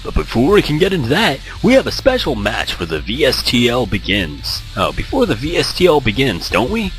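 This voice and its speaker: A man voicing a peppy, excitable esports match commentator for a visual novel game. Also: medium pitch, brisk, faint music behind, pitched above the performer's own voice. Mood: excited, chirpy.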